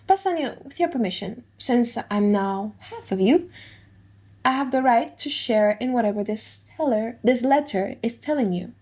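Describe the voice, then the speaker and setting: A woman's voice: a little high, animated, moderate pace, a little mellow, close to an everyday voice. A woman voicing a loving, wealthy young noblewoman in an audiobook play, commanding and reassuring. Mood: concerned, insistent. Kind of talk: dramatic audiobook reading of a play.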